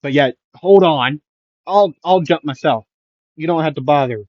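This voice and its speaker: A man's voice: fairly high pitch, moderate pace, darkish tone, no room sound, highs cut off, voice pushed up, natural voice. A man voicing a cocky, reckless teenage boy hero in a brash, casual, exclaiming way for a told story.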